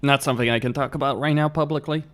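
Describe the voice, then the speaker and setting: A man's voice: medium pitch, fairly even intonation, racing. A young man from Romania, streaming a game and chatting bluntly with viewers, laughing often and swearing freely. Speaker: gaming streamer. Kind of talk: casual live-stream chat, answering viewers.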